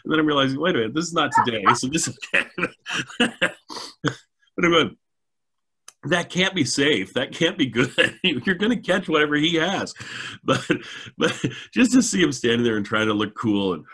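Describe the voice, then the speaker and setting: A man's voice: fairly high pitch, expressive, normal speed, pushed and bright, breathy edge. A middle-aged man hosting a casual chat over a video call, enthusiastic and effusive. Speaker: show host. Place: indoors at home, video call.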